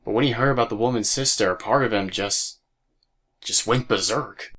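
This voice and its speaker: A man's voice: fairly low pitch, normal speed, a bit bright, breathy edge. A man narrating an audio drama as a scripted story. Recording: music bed behind voice.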